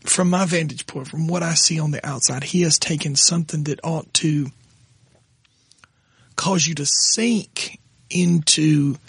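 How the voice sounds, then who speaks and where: A middle-aged pastor, hoarse and raspy tonight, talking haltingly with his wife on their podcast. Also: on the high side, no room sound. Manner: halting, restarts often when moved. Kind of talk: casual conversation with his wife.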